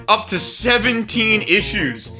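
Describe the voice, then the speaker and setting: Bright, high-voiced man, amused and sweary. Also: sing-song, takes it slowly, faint music behind. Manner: sweary, amused, often breaking into laughter. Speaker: video host. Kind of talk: casual commentary, reading a comic summary aloud.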